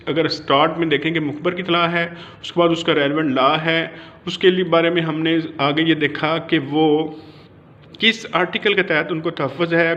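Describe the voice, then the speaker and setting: A man with a Pakistani accent giving an informal explanatory law lecture that mixes in English legal terms, in a halting, repetitive way. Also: fairly high pitch, a bit bright. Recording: dull, lo-fi audio.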